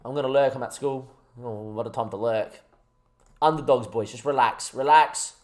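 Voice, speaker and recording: A young man talks through his football management game on a live stream, his voice sitting at a medium pitch. He chats with his viewers and builds himself up with rallying, excitable talk. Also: moderate pace. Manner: excitable, rallying, chatty with chat. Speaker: gaming streamer.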